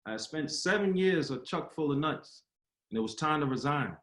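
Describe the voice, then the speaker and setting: A man's voice: a little high, lively intonation, moderate pace, fairly bright tone. An actor playing an earnest baseball great, father and civil rights speaker in a dramatic table read, with oratorical delivery. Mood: reflective. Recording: roomy echo with music behind. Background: music.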